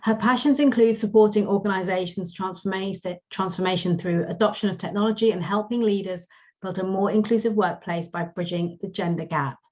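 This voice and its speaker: A woman's voice: medium pitch, brisk, darkish tone. A woman hosting a podcast as a career coach, reading a polished upbeat introduction and then interviewing her guest. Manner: polished, upbeat promotional delivery. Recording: dull lo-fi sound.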